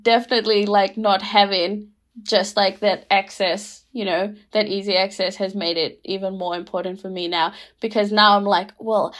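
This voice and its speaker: A woman's voice: mid-range voice, flat delivery, normal speed, a bit bright. Chatty young woman, heavy fillers. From Rotuma, Fiji. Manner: chatty, many fillers, laughs easily. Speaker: guest. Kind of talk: casual reflective conversation.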